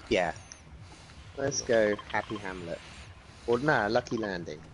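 Gravelly man joking over game noise. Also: on the low side, animated, unhurried. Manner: swearing, joking, laughing. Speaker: gamer streaming with friends. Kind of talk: casual squad chat while gaming.

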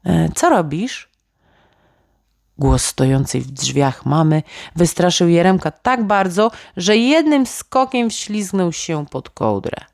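Low, slow woman storyteller with creaky edge. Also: expressive, a little mellow, dry recording, studio quality. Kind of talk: children's bedtime story narration.

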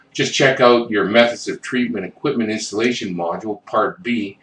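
Deep-voiced man narrating a plumbing lesson. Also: a bit bright. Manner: reads technical figures step by step with small self-corrections. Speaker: plumbing instructor. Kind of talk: instructional voice-over narration. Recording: roomy, distant mic with faint noise.

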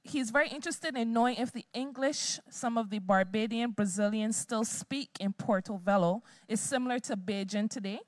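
A woman's voice: on the high side, moderate pace, hardly any pauses, no echo. Woman moderator reading out a question. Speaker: event moderator. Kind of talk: relaying an audience question.

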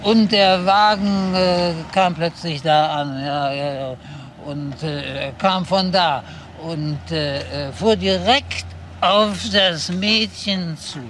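A flustered male witness in a crime radio play. His voice sits fairly high and moves slowly, stumbling and repeating. There is faint noise behind him. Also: a bit bright. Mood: shaken, flustered. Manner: halting, repetitive, tags statements with "nicht?". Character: flustered male eyewitness to a fatal car incident. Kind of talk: German radio crime drama acting.

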